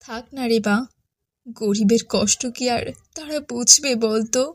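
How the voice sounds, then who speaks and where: Woman acting a poor widowed cartoon mother, highish voice, tender, humble, earnest, close dry sound. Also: a little slow, no room sound. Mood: resigned. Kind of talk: Islamic cartoon dialogue.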